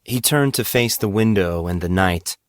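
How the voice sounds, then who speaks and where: Deep male narrator reading a story, measured and dramatic, very dry close sound. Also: expressive, no room sound. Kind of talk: scripted fiction narration.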